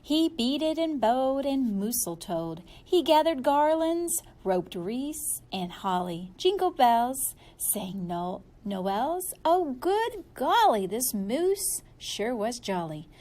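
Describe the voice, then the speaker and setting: Female storybook reader, very lively intonation, slow and playful delivery for young listeners. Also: high voice. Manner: animated, playful, drawn-out emphasis. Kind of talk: expressive children's story read-aloud.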